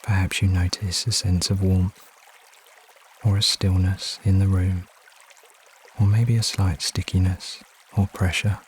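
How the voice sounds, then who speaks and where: Male meditation guide, deep register, soothing and unhurried with frequent pauses, trickling water behind. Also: slight background noise. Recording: steady water ambience behind voice. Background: trickling stream water sounds.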